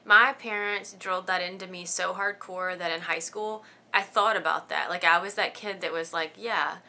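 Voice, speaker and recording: A woman's voice: on the low side, fairly even intonation, normal speed, bright, forceful tone. A woman in her thirties chatting casually through a list of questions, giggly and rambling with lots of ums. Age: 30s. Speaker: beauty vlogger. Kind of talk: casual chat answering tag questions.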